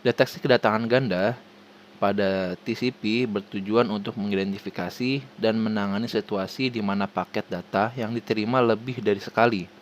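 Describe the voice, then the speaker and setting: A man with an Indonesian accent reading a formal scripted presentation haltingly, stumbling over words and restarting them. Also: on the low side, fairly even intonation, a little hiss or hum. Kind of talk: scripted presentation read aloud.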